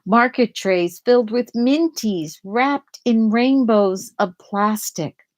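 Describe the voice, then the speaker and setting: A woman reading a children's picture book aloud in a deliberate way, pausing to explain things to young listeners. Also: on the high side. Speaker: storybook reader.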